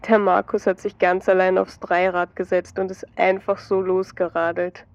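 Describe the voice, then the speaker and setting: A woman's voice: lowish voice, little pitch movement, normal speed, no room sound, highs cut off, close to an everyday voice. Woman voicing a sleepy Austrian ex-husband. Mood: warm. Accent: Austrian German. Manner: quiet, drowsy. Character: tired, sleepy ex-husband, softening.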